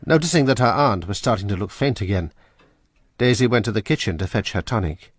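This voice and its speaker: A man narrating a radio drama in a measured, grave manner. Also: on the low side, lively intonation, slightly rough, no echo. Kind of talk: scripted narration.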